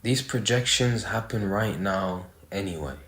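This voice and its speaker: A man leading a guided meditation, speaking in short phrases with pauses between them. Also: low-pitched, a bit bright. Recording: roomy, echoey sound.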